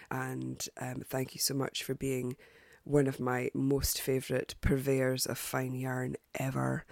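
Woman podcast host with a cold, croaky and stuffed up, gravelly, dry close sound, lots of ums. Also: a bass voice, dry recording. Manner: chatty, hesitant with many ums and restarts. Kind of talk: casual podcast sign-off.

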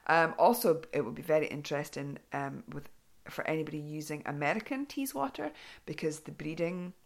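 Woman, podcast host, low voice, gravelly, hoarse, chatty and rambling. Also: fairly quick. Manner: chatty, rambling, with many ums and restarts. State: voice going hoarse. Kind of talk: casual podcast chat.

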